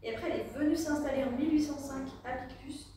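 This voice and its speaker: A woman in a casual group discussion who searches for her words and fills her pauses often. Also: high-pitched, fairly even intonation, mellow, slight background noise. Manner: searching for words, many fillers. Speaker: discussion participant. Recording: echoey room, distant mic.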